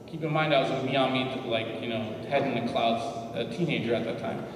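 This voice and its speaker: An American-born man on a forum panel, telling personal stories to an audience in a lively, direct way. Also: mid-range voice, fairly quick, background noise. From United States. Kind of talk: storytelling talk to an audience. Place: large hall at a community forum. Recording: echoey hall from far off.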